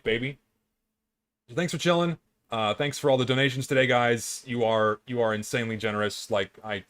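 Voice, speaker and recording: A hoarse, tired young man chatting casually on a live stream, rambling and blunt with plenty of swearing. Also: medium pitch, a bit bright, dry recording.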